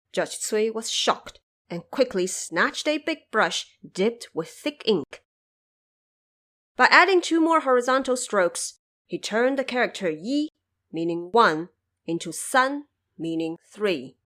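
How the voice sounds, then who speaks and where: A woman narrates an audio drama with a mid-range voice. Her delivery is measured and formal, with the expressiveness of a practised storyteller reading from a script. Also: mid-range voice, fairly bright tone.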